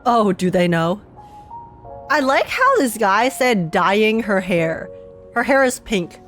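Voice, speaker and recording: A woman's voice: mid-range voice, sing-song, slow pace, fairly bright tone, soft background music. A young woman streaming a game, joking sarcastically and laughing often. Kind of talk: casual reaction commentary.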